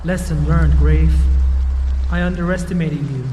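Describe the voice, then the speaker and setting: Game villain, male actor: cocky, theatrical braggart, higher-pitched, taunting, over noisy background. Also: steady tone, moderate pace, close to an everyday voice. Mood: vengeful, gloating. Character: boastful villain, arrogant showman with homemade weapons. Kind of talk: video game cinematic acting. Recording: long hall reverb, clear background noise.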